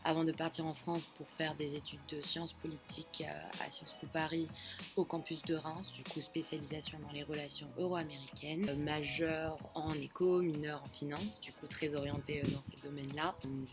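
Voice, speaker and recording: A young woman in her late teens from Belgium, telling her own story with many pauses, fillers and restarts. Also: low voice. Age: young adult. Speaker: student sharing her experience. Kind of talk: personal testimony to camera.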